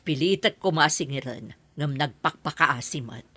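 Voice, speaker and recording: Kindly landlady, Ilocano accent, low husky female voice, animated intonation, radio drama. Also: moderate pace, dry recording, full character voice. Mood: concerned. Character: kindly childless married landlady longing for a baby. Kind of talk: Ilocano audio drama acting.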